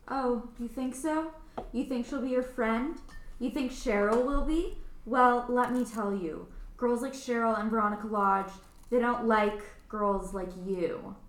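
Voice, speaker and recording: A woman's voice: a little high, measured pace, natural voice. A woman playing a strict, scornful, controlling mother in an amateur radio play. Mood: stern, scornful. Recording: roomy, echoing room.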